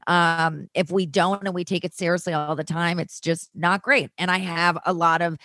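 Woman, actress guest, lively and profane raconteur, lower voice, dry mic. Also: moderate pace, dry recording. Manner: lively storyteller, laughs often, swears freely. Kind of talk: casual chat.

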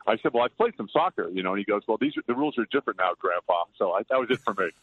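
Older man, low voice on a phone line. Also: expressive, very fast, husky, dry recording. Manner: loud-voiced, rambling and self-deprecating. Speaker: guest. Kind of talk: casual interview chat. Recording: tinny dry phone line.

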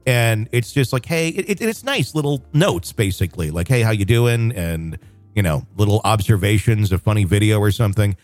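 Male podcast host, rambling casual talker, low pitch, faint background music. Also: lively intonation, moderate pace, darkish tone, no room sound. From northwest Arkansas, USA. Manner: rambling, frequent fillers and restarts.

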